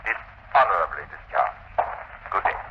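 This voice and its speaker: An actor playing a stern, dignified university president, formal and righteous, in 1930s radio drama style. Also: male voice, extremely low pitch, lively intonation, normal speed. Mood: stern accusation. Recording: muffled, faintly noisy 1930s radio recording.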